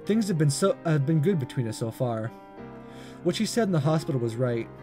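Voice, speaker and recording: A man with a fairly high, very animated voice reads a visual novel aloud for a gaming video. His delivery is amateur and expressive, with stumbles and rereads. Soft music plays underneath. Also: a little fast.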